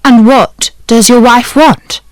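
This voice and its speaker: A woman's voice: a little high, fairly even intonation, takes it slowly, no room sound, pitched above the performer's own voice. A woman voicing a magic golden fish, an enchanted prince, in a storybook reading. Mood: calm.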